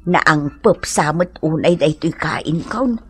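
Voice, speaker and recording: A woman's voice: low voice, slow pace, faint music behind. A woman with an Ilocano accent playing a stubborn, plaintive old beggar mother in a radio drama, exclaiming and wailing. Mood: fussing, grumbling.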